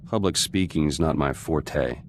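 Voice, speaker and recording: A man's voice: a bass voice, measured pace, slight background noise. A man playing a blunt resistance fighter turned reluctant politician in a video game, talking in clipped, dry phrases. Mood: dry, grudging. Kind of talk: cinematic video game voice acting.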